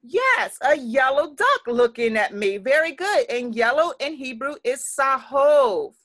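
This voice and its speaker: A young woman reads a picture book to preschoolers from her classroom, speaking over a laptop webcam. Her voice sits high and bright, and she takes it slowly, repeating each line in a gentle sing-song. The lines are clear and simple, pitched for small children. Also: expressive. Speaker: preschool teacher. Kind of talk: reading a picture book to children on a video call. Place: classroom with a calendar, indoors. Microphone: laptop webcam on a video call.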